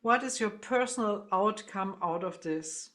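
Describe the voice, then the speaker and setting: Slow-speaking, reflective woman musician. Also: mid-range voice, a bit bright. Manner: halting, searching for words. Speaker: interview guest, musician. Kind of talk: reflective conversational explanation.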